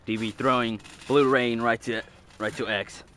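A young man giving live play-by-play of amateur wrestling in short clipped calls, with excited outbursts at big moves. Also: mid-range voice, moderate pace. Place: outdoors in a backyard beside a trampoline. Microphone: handheld camera mic. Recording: noisy handheld outdoor camera audio.

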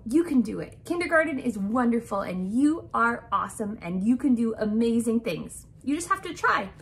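Animated, high-voiced young woman reading to children. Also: a little hiss or hum. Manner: expressive, sing-song, addressing young children. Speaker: kindergarten teacher. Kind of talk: children's picture book read-aloud. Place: small indoor room with decorated walls.

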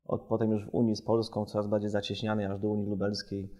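A man from Poland with a mellow, fairly low voice speaks as a researcher on a podcast. He is discursive, unfolding arguments in long analytical chains. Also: monotone, fast talker.

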